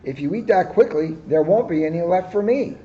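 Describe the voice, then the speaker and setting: A man voicing a kind, patient female tortoise who speaks gently and reasonably. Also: a little high, animated, brisk, lo-fi sound, voice pushed up, close to an everyday voice. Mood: pleading.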